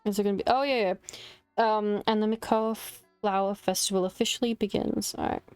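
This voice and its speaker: A woman's voice: medium pitch, steady tone, a little fast, a little mellow, clean tone, no room sound, soft background music. A woman streaming a game casually, informally reading dialogue aloud and muttering her own reactions in between.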